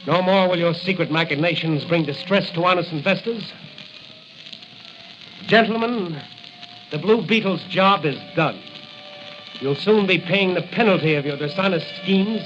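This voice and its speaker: An actor playing a righteous crime-fighting hero in a declamatory, commanding 1940s radio style. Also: male voice, sits high, normal speed, long pauses, a bit bright, soft background music. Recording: hissy, dull 1940 radio recording.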